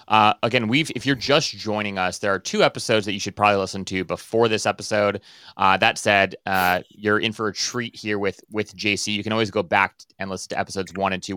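A man's voice: lowish voice, sharp tone, smooth, dry recording, studio quality. A man hosting a podcast, introducing the series and chatting with a guest in an upbeat, rambling way with many restarts. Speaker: podcast host. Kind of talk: casual podcast interview.

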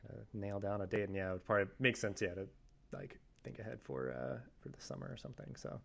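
Low-voiced man hosting a podcast. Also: very animated, fast talker, creaky. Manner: filler-heavy, rambling with many "like" and "uh". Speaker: podcast host. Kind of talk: casual interview chat.

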